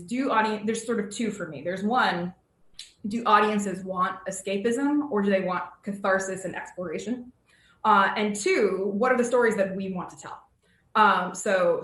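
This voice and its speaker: A woman's voice: medium pitch, fairly bright tone. Woman moderator in a roomy space. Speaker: panel moderator. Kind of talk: conversational panel moderation. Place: indoor room on a video call. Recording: roomy video call sound.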